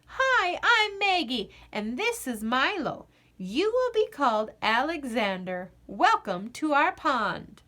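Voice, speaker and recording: Woman putting on an eager high-pitched little adventurer girl. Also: sing-song, takes it slowly, hardly any pauses, bright, forceful tone, exaggerated voice. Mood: delighted. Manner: emphatic, proclaiming, calling out.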